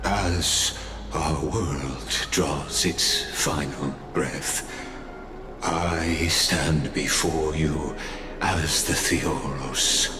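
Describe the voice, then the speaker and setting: Grandiloquent game elder, courteous and unreadable; measured formal delivery, echoing, music loud behind. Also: male voice, extremely low pitch, fairly even intonation, full character voice. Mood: solemn. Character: solemn, grandiloquent male elder overseer, courteous and inscrutable. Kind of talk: theatrical video game voice acting.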